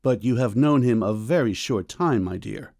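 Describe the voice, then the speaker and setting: A man playing a stern, middle-aged doctor and father in a period audiobook drama, with a measured, formal, coolly ironic manner. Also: mid-range voice, lively intonation, darkish tone, no echo, clean studio sound. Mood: dry, questioning. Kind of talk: full-cast audiobook period drama acting.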